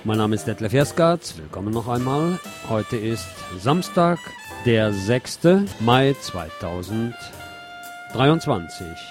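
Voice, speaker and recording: A man hosting a radio show, presenting commentary and reading news aloud in a deliberate way with pauses. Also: medium pitch, sing-song, music in the background.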